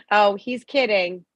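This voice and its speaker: A woman's voice: mid-range voice, no echo, lo-fi sound. A woman playing a chatty, eager, oblivious middle-aged mother in a comedy script table read. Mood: gracious.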